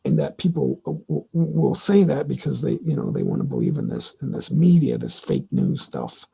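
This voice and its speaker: A middle-aged man hosting his own livestream, talking casually and answering chat in a rambling, opinionated way with many halting restarts. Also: mid-range voice, wildly swooping pitch, vocal fry, warm tone, slightly rough. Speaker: livestream host.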